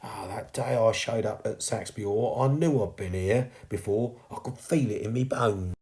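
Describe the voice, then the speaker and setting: A man's voice: on the low side, normal speed, darkish tone, pitched above the performer's own voice, natural voice. A man playing a cheeky ghost boy. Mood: amazed. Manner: colloquial, chirpy, teasing. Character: cheeky young ghost chimney sweep boy, warm-hearted cockney.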